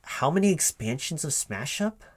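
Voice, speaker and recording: A man playing a recruiting manager in a one-sided spoken interview roleplay, halting and puzzled as he repeats things back as questions. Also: on the high side, very animated, slightly rough.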